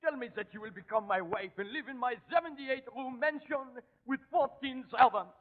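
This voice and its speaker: High-pitched lovesick French suitor. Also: male voice, moderate pace, long reverb tail, narrow, tinny audio. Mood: pleading. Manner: pleading, melodramatic. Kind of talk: 1940s radio comedy acting. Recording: thin, band-limited 1940s radio.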